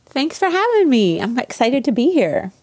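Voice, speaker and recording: A woman's voice: sits high, sing-song, moderate pace, darkish tone, husky, no echo. A woman speaking as an interview guest, telling her story in a casual, chatty, informal way. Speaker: interview guest, baker and business owner.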